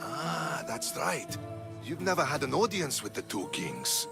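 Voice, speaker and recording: Measured, diplomatic Spartan general, lively inflection, video game cutscene over clear music. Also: male voice, a little high, heavily acted. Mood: advising, cautionary. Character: loyal, measured male Spartan general advising a heroine. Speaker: game voice actor. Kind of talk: dramatic video game acting.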